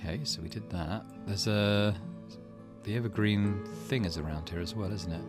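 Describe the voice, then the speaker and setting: A man's voice: lowish voice, normal speed. A man doing let's play commentary on a video game, thinking aloud in muttered asides. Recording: music behind the voice. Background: game music.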